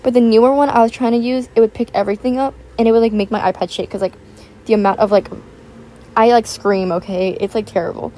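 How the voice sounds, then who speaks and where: A young woman, raspy from just waking up, rambling chattily over a voiceover and recorded at home on a built-in tablet mic. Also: on the high side, fairly even intonation, quick pace, dark tone. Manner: rambling, filler-heavy, chatty. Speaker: YouTuber. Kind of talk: casual chat voiceover. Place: home, with household noise. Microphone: built-in tablet microphone.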